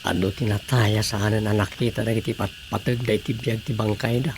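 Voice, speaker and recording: A man's voice: on the low side, fairly even intonation, brisk, background noise, close to an everyday voice. A man acting in an Ilocano radio drama as a chatty, reflective village man, with an Ilocano Filipino accent. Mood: somber, reflective.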